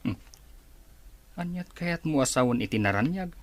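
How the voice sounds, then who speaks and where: A man's voice: mid-range voice, expressive, normal speed, dry recording, close to an everyday voice. An actor with an Ilocano Filipino accent playing an inquisitive male office superior in a radio drama. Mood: probing.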